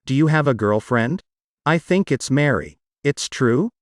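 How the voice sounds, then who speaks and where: A man's voice: medium pitch, animated, a little slow, no echo, studio quality. Man asking plain scripted lesson questions. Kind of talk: scripted English lesson dialogue.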